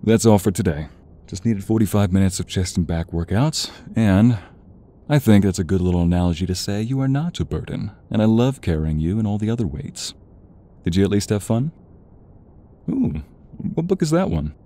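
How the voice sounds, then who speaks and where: A man's voice: lowish voice, animated, normal speed, warm tone, voice pushed up, close to an everyday voice. A man playing a playful, doting boyfriend at a home gym in a romance audio roleplay, chatting in a teasing, affectionate way with small grunts.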